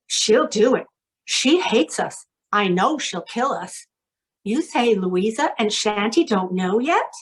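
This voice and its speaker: A woman's voice: mid-range voice, lively intonation, slow, rough recording. A woman playing a jumpy, fretful young female carnival snake charmer in an old-time radio crime play. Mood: alarmed.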